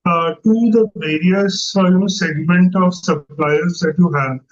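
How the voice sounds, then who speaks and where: Man, analyst caller, high pitch, hesitant rambling questions, dull unclear line. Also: unhurried, a little hiss or hum. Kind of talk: questioning on an earnings call.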